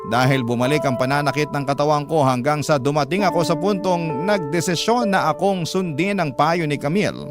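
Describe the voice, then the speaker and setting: A man's voice: on the high side, brisk, natural voice. A man with a Filipino accent narrating a suffering letter writer's first-person account as scripted radio drama. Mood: somber. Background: loud background music.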